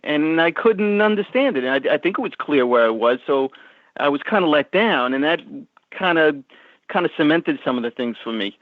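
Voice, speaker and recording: A man's voice: fairly high pitch, no echo. Long Island man calling in by phone. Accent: Long Island New York. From Long Island, New York. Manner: easygoing, many fillers, repeats words. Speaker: caller. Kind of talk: phone call-in chat. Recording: tinny phone line.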